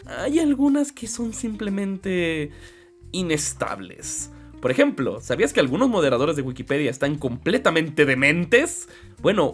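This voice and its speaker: A young man narrates a comedic video essay in a fairly high, very lively voice. He moves between mock seriousness and sarcastic asides, talking conversationally over music. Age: 20s. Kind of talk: humorous scripted narration. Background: background music.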